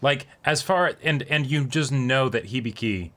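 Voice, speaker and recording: Male co-host, mid-pitched voice, casual off-the-cuff anime discussion. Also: unhurried, a bit bright. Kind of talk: casual chat, unscripted commentary.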